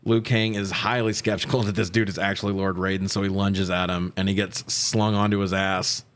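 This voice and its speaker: Low-voiced man recapping a movie. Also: fairly quick. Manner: animated storytelling, acting out quoted lines; slangy, profane. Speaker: podcast co-host. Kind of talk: casual movie recap banter.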